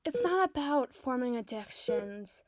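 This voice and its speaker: A woman playing an obsessive, doting doctor in an ASMR roleplay, speaking softly and articulately with theatrical tenderness. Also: sits high, animated, unhurried, dry recording. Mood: concerned, coaxing. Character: obsessive, doting yandere woman doctor, soothing but possessive. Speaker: audio roleplay voice performer.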